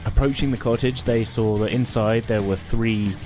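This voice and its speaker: A man's voice: fairly low pitch, fairly even intonation, normal speed, over music. A man working as game master, narrating casually in an informal, hesitant way. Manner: informal, hesitant, chuckling asides.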